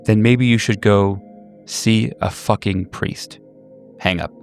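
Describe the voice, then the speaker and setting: Wounded male former lover, acted by a man, gravelly, emphatic, halting, roleplayed phone drama. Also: lowish voice, steady tone, soft background music. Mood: exasperated, final. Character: wounded, exasperated former lover, a man, firmly refusing reunion.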